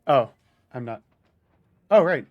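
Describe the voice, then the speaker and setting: A man's voice: medium pitch, animated, takes it slowly, dry recording, lo-fi sound. A man streaming a game, chatting casually with friends, joking and laughing often. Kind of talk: casual gaming chat.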